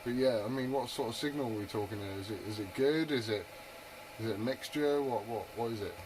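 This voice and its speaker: A man's voice: mid-range voice, fairly quick. A man chatting informally over CB radio, chatty and keen with his questions. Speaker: CB radio operator. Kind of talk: casual radio chat. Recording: noisy hissy CB radio transmission.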